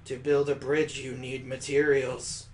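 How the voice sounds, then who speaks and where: A man's voice: mid-range voice, flat delivery, a little slow, faint music behind, lightly acted. A man voicing a cheerful, precocious child who speaks fondly and patiently in a visual novel.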